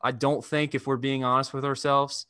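A young man hosting a sports podcast over a video call, talking in a measured, analytical way at a desk microphone. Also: medium pitch, little pitch movement, pushed and bright, smooth, no echo, clean studio sound. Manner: measured, analytical, restarts phrases. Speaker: podcast host. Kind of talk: casual sports talk. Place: indoor room.

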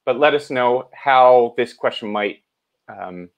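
A man asks questions over a headset on a video call. He speaks hesitantly and softly, often murmuring agreement. His pitch is ordinary for a man. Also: takes it slowly, lo-fi sound. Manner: hesitant, soft-spoken, frequent murmured agreement. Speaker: co-host asking questions. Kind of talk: casual chat on a video call.

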